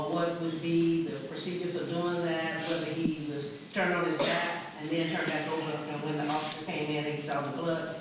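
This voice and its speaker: A man on a review board questioning an officer, probing and thinking aloud as he works toward his question. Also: a little high. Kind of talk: questioning at a public meeting. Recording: distant, very echoey with loud noise.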